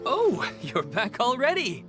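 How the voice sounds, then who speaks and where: A dutiful event staffer in a video game. He is polite and obliging, with a lively, swooping intonation and music playing underneath. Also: extremely high pitch, measured pace, full character voice. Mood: concerned, obliging. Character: dutiful, concerned adult event staff member. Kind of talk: video game character acting.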